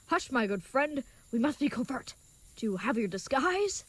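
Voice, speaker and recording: A woman's voice: sits high, sing-song, normal speed, no room sound. A woman playing an earnest, naive, chivalrous young knight in an audio comedy, speaking with stilted, wordy politeness. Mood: earnest, musing. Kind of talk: scripted audio comedy acting.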